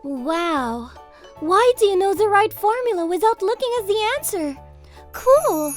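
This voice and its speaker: Very high-pitched, sweet schoolgirl. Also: animated, unhurried, soft background music. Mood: eager. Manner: polite, apologetic. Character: kind, polite, eager high school girl, humble and sweet. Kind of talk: manga video character dialogue.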